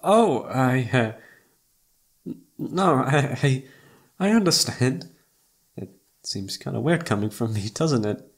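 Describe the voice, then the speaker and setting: Young male best friend played by a man, romantic audio roleplay. Husky with vocal fry, intimate, emotional, halting, self-reproachful. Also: medium pitch, expressive, heavily acted. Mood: flustered.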